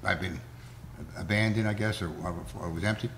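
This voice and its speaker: A hoarse man with a cold, chairing a zoning board meeting in plain, folksy words, heard through a table mic in a town hall. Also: lowish voice, steady tone, normal speed, a bit bright, slight background noise. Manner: plain-spoken, folksy, many fillers.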